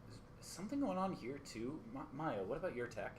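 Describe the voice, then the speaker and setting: A man's voice: a little high, very animated, fast. A man playing an earnest, puzzled radio news co-anchor in an amateur radio play, with a hesitant, questioning manner. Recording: faint background noise and ambience.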